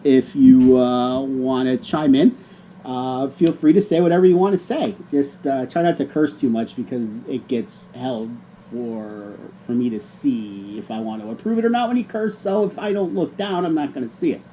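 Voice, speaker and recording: A man's voice: mid-range voice, moderate pace, warm tone, slight background noise, low-quality recording. A middle-aged man co-hosting a casual chat show, joking and teasing. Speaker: co-host.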